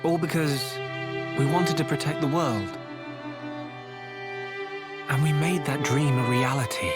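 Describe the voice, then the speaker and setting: An actor plays a young male game hero, polite and sincere. His voice carries quiet resolve, with music clearly heard behind it. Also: on the high side, steady tone, measured pace, natural voice. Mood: reflective, earnest. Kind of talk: video game voice acting.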